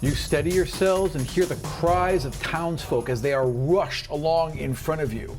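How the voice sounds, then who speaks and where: A man's voice: a little high, normal speed, over music. A man working as game master, narrating scenes in a tabletop roleplaying game.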